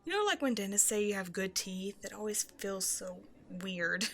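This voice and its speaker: A woman's voice: medium pitch, lively intonation, moderate pace, fairly bright tone, a little hiss or hum. A woman playing a shy, self-doubting young woman who rambles hesitantly in an intimate one-sided roleplay conversation with a stranger. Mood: shy, flustered. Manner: hesitant, apologetic, nervously overthinking, giggly.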